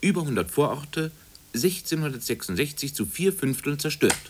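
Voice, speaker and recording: Lively, formal radio play announcer. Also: male voice, a little high, normal speed, a little hiss or hum. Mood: matter-of-fact. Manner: clipped, formal, enumerating. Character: factual announcer reciting city statistics like an encyclopedia. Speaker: announcer of city facts. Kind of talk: 1950s radio drama, inventory-like announcing.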